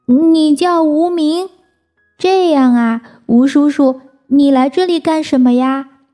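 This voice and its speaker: A woman voicing a tearful, polite little baby dinosaur in a high childish voice. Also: slow pace, warm tone, soft background music. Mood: chatty.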